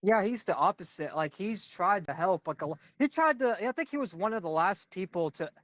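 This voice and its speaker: A loud young man chatting on a reaction stream, rambling with plenty of filler words. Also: high voice, expressive, brisk, no echo, dull audio. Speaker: commentary streamer. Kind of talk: casual reaction chat.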